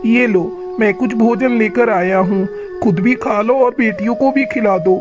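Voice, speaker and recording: A woman voicing a bossy but soft-hearted male crow in a children's moral-story cartoon. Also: a little high, measured pace, close to an everyday voice. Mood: kindly. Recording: music clearly behind the voice.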